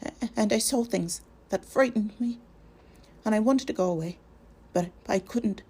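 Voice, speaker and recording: A woman's voice: mid-range voice, mellow, slightly rough. A woman voicing a frightened young male hobbit who stammers and halts as he speaks. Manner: stammering, halting.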